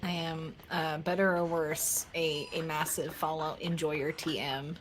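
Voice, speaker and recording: A woman's voice: low-pitched, little pitch movement, unhurried, slight background noise, rough recording. A woman doing casual, playful co-commentary on a live game stream in an effusive way. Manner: playful, effusive, uses internet slang.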